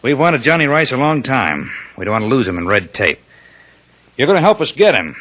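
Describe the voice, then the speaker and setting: An actor playing a hard, wily undercover agent with an American accent and a clipped, insistent delivery, as in vintage radio drama. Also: male voice, mid-range voice, big pitch swings, brisk, a bit bright, low-quality recording. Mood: firm, insistent. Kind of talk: 1940s-50s American radio drama acting.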